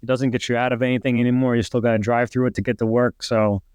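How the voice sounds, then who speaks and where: A middle-aged man with a New York accent tells stories in a loose, rambling way, dropping "like" all through and slipping into quick impressions of what people said. His voice sits at an ordinary pitch. The sound is close and dry, with no room around it. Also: level tone, darkish tone, clean tone, dry recording. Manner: rambling, heavy use of "like", acts out quotes. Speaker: retired fire captain, guest. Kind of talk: casual podcast storytelling.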